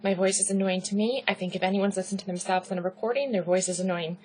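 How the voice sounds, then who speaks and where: A woman teaching a recorded class, loud and pitchy in her own words, with a chatty lecturing rhythm full of "right?" and rhetorical questions. A faint hum sits under her voice. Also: steady tone, quick pace, poor audio. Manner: frequent "right?" and "okay" check-ins. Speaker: instructor.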